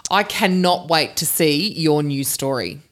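A woman's voice: low-pitched, lively intonation, a little slow. A woman with an Australian accent hosting a casual chat, teasing and encouraging as she talks. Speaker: host. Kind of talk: casual chat with a child guest.

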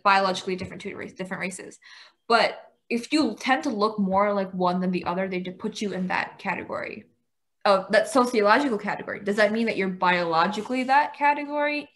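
Young American female, medium pitch, conversational, explanatory and questioning. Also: fairly quick, a bit bright. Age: young adult. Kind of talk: casual discussion.

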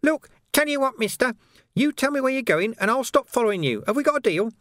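A man reading a story, voicing a sly, cheeky street urchin boy with cocky slang in a high, lively voice. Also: fairly quick, dry recording, studio quality.

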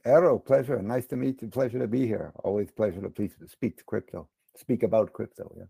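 Gravelly middle-aged man explaining casually. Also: fairly low pitch, a little fast, mellow, no room sound. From United States. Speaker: podcast guest, crypto educator and former bond trader. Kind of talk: casual explanatory interview talk.

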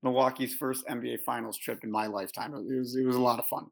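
A man whose voice is raspy and gravelly after a weekend of celebrating. He talks in a laid-back, easygoing way as a podcast guest, filling gaps with ums. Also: medium pitch, steady tone, fast talker.

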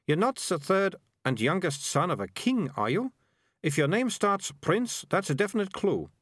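Guy putting on a high bossy girl voice, prim know-it-all, very up and down. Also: big pitch swings, normal speed, a bit bright, husky, dry recording, studio quality, exaggerated voice. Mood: imaginative. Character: bossy, imaginative young girl, mayor's daughter.

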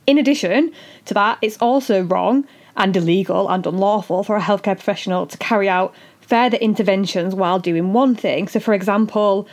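A woman with a husky, fading voice, hosting an educational podcast and explaining things earnestly, step by step. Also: mid-range voice, a little fast. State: husky voice after illness. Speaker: podcast host and doula. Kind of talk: solo educational podcast talk.

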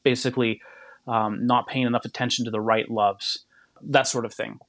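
Reflective man, medium pitch, dry sound. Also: flat delivery, normal speed, fairly bright tone, no room sound. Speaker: podcast guest. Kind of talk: reflective conversational talk.

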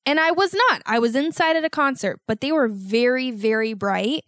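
A young woman hosting a solo podcast, talking in a rambling, self-mocking way with loud outbursts, and sounding congested. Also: high voice, lively intonation, normal speed, dry recording, clean studio sound. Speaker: podcast host.

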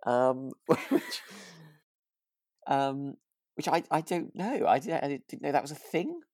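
A man's voice: a little high, very animated, takes it slowly, darkish tone, no room sound, studio quality. A man co-hosting a casual podcast about a radio drama, talking in a hesitant, rambling way. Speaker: podcast co-host.

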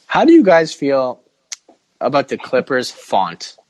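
A man's voice: mid-range voice, wildly swooping pitch, a little slow, low-quality recording. A man hosting a casual sports podcast, giving opinions in a mock-dramatic, self-deprecating way. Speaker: podcast host.

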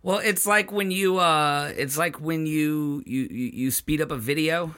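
A man co-hosting a music podcast, relaxed and stammering a bit as he thinks aloud. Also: fairly high pitch, unhurried, clear, resonant tone. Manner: laid-back, laughs often, stammers when thinking. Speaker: podcast co-host. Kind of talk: casual music chat.

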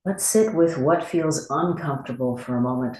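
A meditation teacher with a medium-pitched voice guides a body scan. The delivery is gentle and unhurried, with long pauses between short instructions. The recording carries noticeable room echo. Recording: echoey room.

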